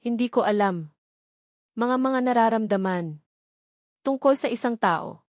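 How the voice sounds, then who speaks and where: Casting: anxious young amnesiac woman for audio romance played by a female reader, tentative, halting delivery, close dry sound. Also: a little high, animated, a little mellow, no room sound. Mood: confused, hesitant.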